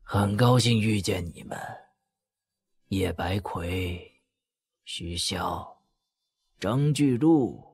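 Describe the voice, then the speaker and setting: An actor playing a proud, sentimental old strategist in an audio drama, declaiming slowly with deliberate pauses in a husky, gravelly voice. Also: male voice, low-pitched, heavily acted. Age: older. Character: free-spirited old strategist, proud, sentimental, at life's end.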